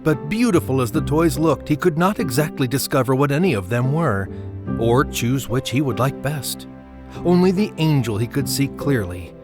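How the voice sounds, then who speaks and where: A man narrating a Christmas story in an audio drama, with measured, expressive literary delivery. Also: mid-range voice, lightly acted. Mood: measured wonder. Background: music under the voice.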